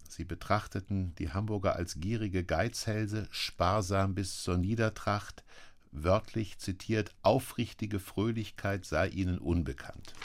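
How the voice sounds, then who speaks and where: A man hosting a radio interview, asking measured questions with occasional hesitations. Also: sits low. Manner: measured, asks questions with fillers. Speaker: interview host.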